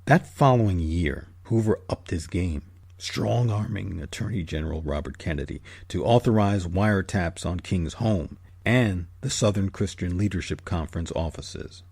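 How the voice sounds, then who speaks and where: A man narrating a scripted history documentary as host, in a measured, emphatic manner. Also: low-pitched, creaky, a little mellow, slightly rough, no echo.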